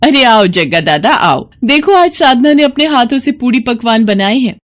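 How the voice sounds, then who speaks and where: A woman voicing a greedy, scheming aunt in an animated moral story, with a coaxing, ingratiating manner. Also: a little high, moderate pace, voice pushed up, natural voice. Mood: flattering, coaxing.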